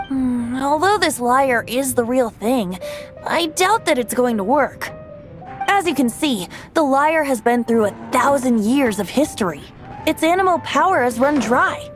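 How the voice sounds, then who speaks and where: A woman's voice: high voice, expressive, measured pace, soft background music. A woman playing a playful, theatrical young male bard in a video game. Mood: thoughtful. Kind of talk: video game character acting.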